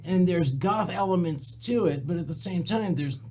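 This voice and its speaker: A man's voice: high voice, expressive, normal speed, a little mellow, slight background noise. A man who is a singer-songwriter, a guest on a radio talk show. He rambles a little, drops band names and makes fun of himself. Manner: rambling, self-deprecating, lists band names. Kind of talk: casual studio chat. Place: internet radio studio.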